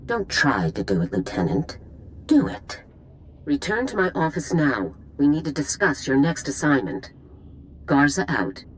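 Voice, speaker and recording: Casting: cold, authoritative general in a sci-fi game; lively pitch. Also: female voice, measured pace, a little hiss or hum. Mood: scolding. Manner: clipped, authoritative, unbending. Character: stern female general, cold and commanding. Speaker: army general. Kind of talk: video game cutscene acting.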